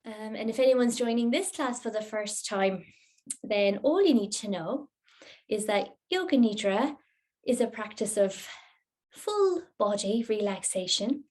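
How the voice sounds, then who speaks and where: A woman teaching a yoga nidra class, giving guided relaxation instructions in a gentle, unhurried, soothing manner. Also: a little high, expressive.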